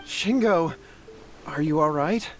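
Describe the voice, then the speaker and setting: A remorseful, caring brother from a video game. His voice is a little high and very slow, humble in every phrase. Light music runs behind it. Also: lively intonation. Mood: worried. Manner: humble, deliberate. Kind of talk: video game dialogue acting.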